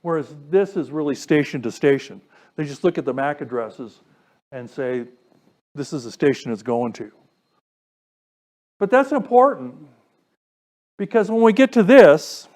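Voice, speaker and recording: A man's voice: a little high, animated, measured pace, pauses often, darkish tone. A man presenting an informal technical lecture, explaining step by step and putting questions to his listeners. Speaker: presenter giving a technical talk to a radio club.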